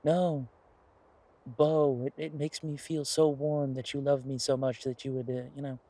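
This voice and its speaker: Husky, earnest boyfriend, acted by a man. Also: medium pitch, flat delivery, warm tone, natural voice. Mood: teasing, mock-hurt. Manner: halting, conversational, wry. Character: earnest, playful werewolf boyfriend pitching a farm venture. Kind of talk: one-sided audio roleplay acting, naturalistic conversation.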